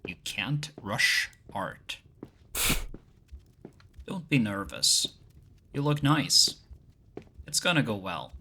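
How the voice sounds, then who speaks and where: A man's voice: lowish voice, very animated, drawn-out words, gravelly, pauses often, bright, forceful tone, a little hiss or hum, heavily acted. A man playing a laid-back, vain young shifter who teases and reassures in an audio roleplay. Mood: dry teasing. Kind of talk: audio roleplay acting, casual banter.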